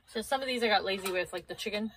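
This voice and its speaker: A woman's voice: mid-range voice, racing, noisy background. Chatty woman sorting her pantry. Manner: chatty, practical, thinking aloud as she sorts cans. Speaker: prepper vlogger. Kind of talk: casual talk while organizing a pantry. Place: small home pantry with shelves, indoors. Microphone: camera on a low shelf, a few feet away.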